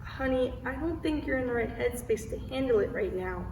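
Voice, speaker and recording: A young woman acting in a student stage play as a concerned friend, soothing and measured. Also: a little high, animated, vocal fry, a little mellow, a little hiss or hum. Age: young adult. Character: calm, concerned young female confidante. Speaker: student actor. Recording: echoey room, distant mic.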